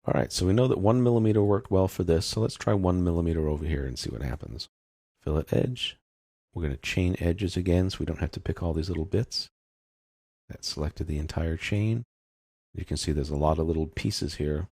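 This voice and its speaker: Deep, gravelly male tutorial instructor. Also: fairly quick, mellow, no echo, clean studio sound. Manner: informal, explanatory, unscripted. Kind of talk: step-by-step screen tutorial narration.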